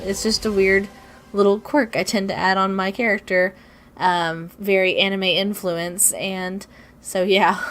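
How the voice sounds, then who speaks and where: Young woman, raspy and sniffly with a cold. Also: mid-range voice, fairly bright tone. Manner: rambling, self-deprecating, lots of ums and restarts. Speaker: artist narrating her speedpaint. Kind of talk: casual voiceover chat.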